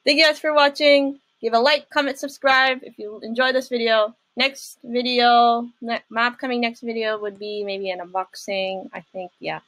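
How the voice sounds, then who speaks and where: A young adult woman giving casual reaction commentary as a video host, rambling informally and excitably. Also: a little high, normal speed, few pauses, dry recording. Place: small room at home.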